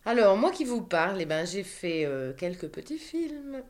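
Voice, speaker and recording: A woman's voice: medium pitch, big pitch swings, moderate pace, a little mellow. A woman hosting a talk program, speaking in a wry, conversational way with many asides. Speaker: host. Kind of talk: radio interview and commentary.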